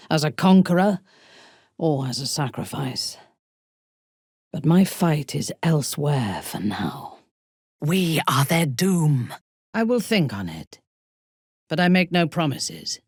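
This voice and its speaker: A woman playing a haughty, ruthless dark elf warrior in a fantasy video game, speaking in a measured, imperious, formal way with a breathy, husky voice. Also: low-pitched, no room sound, studio quality, full character voice. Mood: grave, then disdainful, wry. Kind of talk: scripted video game character lines.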